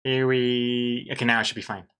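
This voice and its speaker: A man's voice: mid-range voice, little pitch movement, normal speed, sharp tone, smooth. A man in his thirties, a livestreaming artist talking casually to chat while he draws, chatty and self-mocking. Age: 30s. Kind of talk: casual commentary while drawing on stream.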